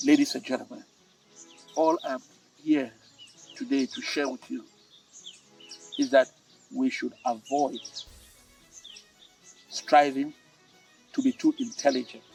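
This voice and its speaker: An older man with a Cameroonian accent, a storytelling host who delivers tales and moral advice in a theatrical, emphatic way with dramatic pauses and repetition. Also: mid-range voice, fairly even intonation, gravelly, a little mellow. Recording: roomy, distant mic with music.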